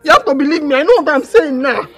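A woman's voice: very high-pitched, animated, a little fast, bright, forceful tone, faint music behind, full character voice. A woman with a Nigerian accent playing a distraught young woman who pleads and wails insistently in a film drama. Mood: desperate pleading.

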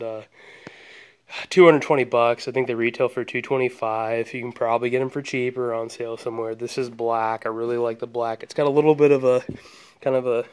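A man's voice: mid-range voice, smooth. Easygoing man rambling through a gear review. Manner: relaxed, rambling, many ums and restarts. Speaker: product reviewer. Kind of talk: casual hands-on product walkthrough. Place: indoors, carpeted room at home.

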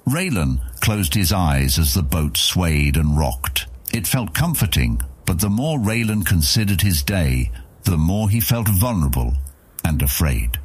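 A man narrating a fantasy audiobook in a measured storytelling manner. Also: sits low, sing-song, slightly rough, no echo.

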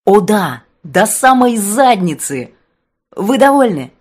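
A woman's voice: mid-range voice, sing-song, takes it slowly, slightly rough, full character voice. A woman voicing a proud young waitress in an audiobook, blunt, sarcastic and defiant. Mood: sarcastic, loud.

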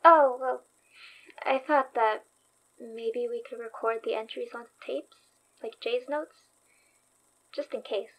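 Female young store worker, slightly high voice, earnest and anxious, halting delivery, naturalistic horror audio drama. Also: steady tone, a bit bright, exaggerated voice, poor audio. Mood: hesitant. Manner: hesitant, halting.

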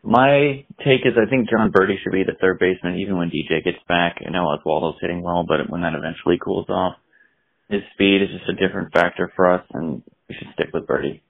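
Deep, gravelly man on a voicemail. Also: fairly even intonation, brisk. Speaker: fan leaving a voicemail. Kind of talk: casual sports opinion. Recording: muffled, lo-fi phone voicemail.

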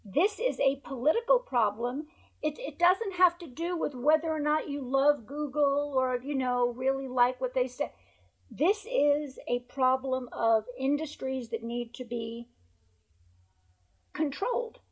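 A hoarse woman who has lost her voice, speaking emphatically as a researcher guest on a video call interview, through earbuds. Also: sits high, unhurried, rough recording. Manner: emphatic, repeats points for stress. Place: bright room at home by a window. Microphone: wired earbuds on a video call.

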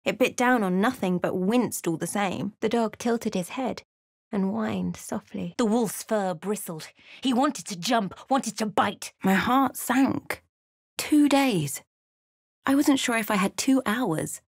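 Thoughtful woman adventurer for a fantasy game, measured dramatic storytelling, super dry recording. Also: mid-range voice, creaky, no echo. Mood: grave narration. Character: thoughtful adventurer woman recounting her journey. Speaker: voice actor for a player character. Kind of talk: scripted game narration and character lines.

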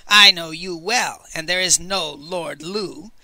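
A man voicing an enormous, cold old woman tyrant who grunts and growls her orders in a loud, clipped way. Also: high-pitched, slow, no echo, exaggerated voice. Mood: menacing.

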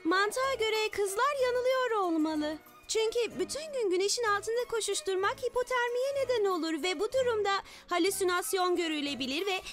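A woman's voice: very high voice, moderate pace, hardly any pauses, a bit bright, soft background music. A woman voicing a brainy, logical young girl in a children's cartoon, earnest and explanatory. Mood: earnest explaining. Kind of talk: animated children's cartoon dubbing.

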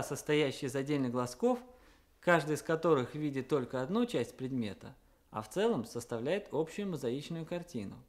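A man with a fairly high voice speaks as a guest scientist. He gives a prepared explanation for young listeners in measured, orderly phrases. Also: darkish tone. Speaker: guest expert, entomologist. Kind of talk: prepared educational talk for children.

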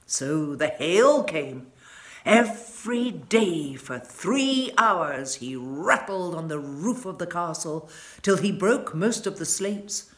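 Older woman storyteller, slow and very expressive. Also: on the low side. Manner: measured, dramatic. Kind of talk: expressive reading of a children's story. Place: furnished living room, indoors.

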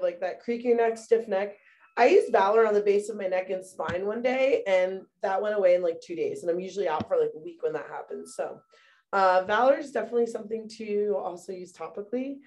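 Chatty mom presenting oils. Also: female voice, mid-range voice, a little fast, a little mellow. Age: young adult or middle-aged mother. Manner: chatty, many fillers, addresses viewers as "you guys". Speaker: essential oil presenter. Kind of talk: casual product presentation. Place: bathroom at home.

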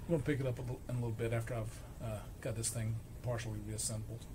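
A man giving an informal, halting, explanatory narration of a hands-on repair, as a repair vlogger at a workbench. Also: medium pitch, flat delivery. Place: indoor electronics workbench. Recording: clearly noisy workshop camera recording.

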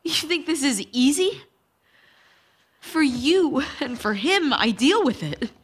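A woman's voice: high voice, animated, slow pace. A woman playing a hurt, pleading young partner in a naturalistic game drama, raw and blunt. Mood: hurt, angry.

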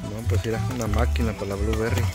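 A man's voice: on the low side, level tone, fast, over loud music. A man, narrating casually and joking while filming workers outdoors in a blueberry field on a handheld phone. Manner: jokey, slangy, laughs often. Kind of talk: casual on-the-job narration and chat.

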